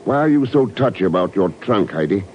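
An actor playing a self-possessed secret agent in an old radio drama, unhurried and probing. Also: male voice, deep voice, animated. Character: cool, probing male secret agent hero. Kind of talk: 1950s radio drama acting. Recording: noisy, dull old radio recording.

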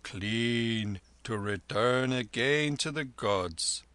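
A man reading aloud, voicing a gentle, weary old holy man who speaks slowly and deliberately. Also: medium pitch, clean tone, no echo. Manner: slow, weary, measured.

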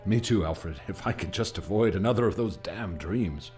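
Troubled gentleman, dramatically swooping voice. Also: sits low, animated, normal speed, soft background music. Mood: weary, distracted. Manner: fretful, dramatic. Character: troubled, brooding American gentleman haunted by dreams. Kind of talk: radio drama acting.

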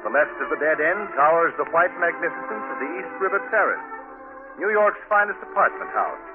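A man narrating a 1940s radio drama in measured, descriptive scripted storytelling. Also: a little high, animated. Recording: tinny 1940s radio recording, music behind. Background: music.

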